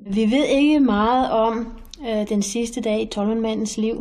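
A woman's voice: fairly high pitch, steady tone, unhurried, darkish tone. A woman giving an unscripted expert answer in an interview, somewhat hesitantly. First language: Danish.

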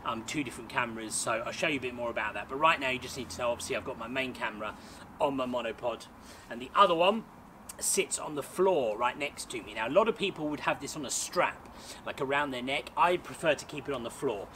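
A man in his thirties talks casually and cheerfully, explaining things one step at a time. His voice sits in a middle register. He's recorded outside in a small garden by a camera a few feet away, with clear outdoor noise and passing traffic behind him. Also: mid-range voice, quick pace, pushed and bright. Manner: chatty, upbeat, explaining step by step. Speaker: sports photographer and vlogger. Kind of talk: casual gear walkthrough. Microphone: camera mic on a tripod, a few feet away. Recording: outdoor camera audio with noticeable background noise.

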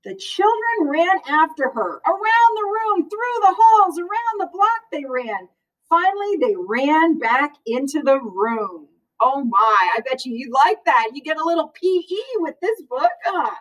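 Animated middle-aged woman reading to children. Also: high voice, highs cut off. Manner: animated, sing-song, drawn-out emphasis with rhetorical questions to children. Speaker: teacher or librarian reading story time to pupils. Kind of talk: expressive read-aloud of a children's picture book, with asides to young listeners. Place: school library, indoors. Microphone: far camera across the room. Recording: distant microphone in a room.

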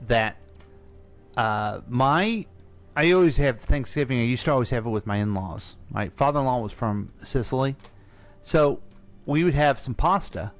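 An American man co-hosting a radio show in casual chat, with an informal, prompting manner. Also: medium pitch. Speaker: radio co-host.

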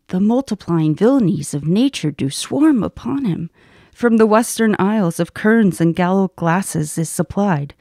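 A woman playing a wounded, loyal sergeant in a Shakespearean radio drama, declaiming gravely. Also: on the low side, warm tone, dry recording, studio quality. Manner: declamatory, weighty storytelling.

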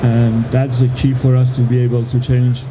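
Middle aged guy answering on a panel, pretty flat pitch, noisy expo floor behind. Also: normal speed. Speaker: panelist, print shop owner. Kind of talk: conversational interview answer. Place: large indoor exhibition hall. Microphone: handheld microphone. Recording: noisy trade show floor, ventilation hum.

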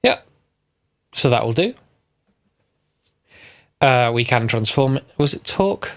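A man with a gravelly, somewhat bunged-up voice from a cold talks through a game mod tutorial. He thinks aloud, corrects himself and chuckles now and then, at a middling pitch. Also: expressive, takes it slowly. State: stuffy from a cold. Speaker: Minecraft mod tutorial host. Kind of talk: casual gameplay tutorial narration.

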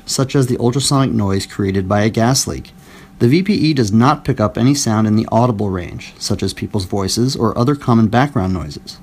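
Male narrator, mid-range voice, steady scripted product explainer, clear and even studio read. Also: mid-range voice.

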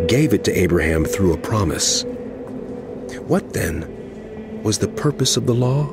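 An actor playing an impassioned male apostle in a dramatized scripture reading, speaking emphatically and deliberately to a wayward flock. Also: medium pitch, background noise. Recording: music under the voice. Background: background music.

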